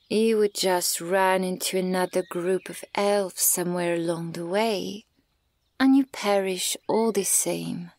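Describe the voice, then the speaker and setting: A woman playing a haughty, menacing, centuries-old elven archer in an ASMR roleplay, deliberate and condescending, at times nearly whispering. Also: lowish voice, a little mellow, dry recording. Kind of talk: slow ASMR roleplay monologue.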